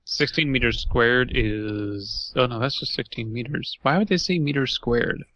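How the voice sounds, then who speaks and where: A man's voice: mid-range voice, unhurried, pushed and bright. A man co-hosting a podcast, riffing casually with opinionated, profane comedic banter. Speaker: podcast co-host.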